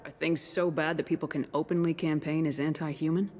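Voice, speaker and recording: An actress playing a tough, no-nonsense military agent in a video game, brisk and authoritative. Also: female voice, low voice, steady tone, a little mellow, slight background noise, natural voice. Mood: businesslike.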